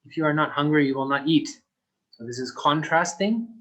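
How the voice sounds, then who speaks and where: A man teaching a grammar lesson to young learners, speaking simply and deliberately. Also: on the high side, a bit bright, highs cut off. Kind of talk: slow, deliberate recorded English lesson.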